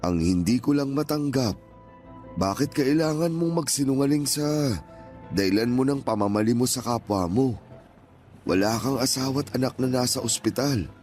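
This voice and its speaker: A man acting as a gentle, hurt older father in a radio drama, pleading earnestly and emotionally with his grown child. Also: mid-range voice, normal speed, natural voice. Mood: reproachful. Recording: music playing behind the voice.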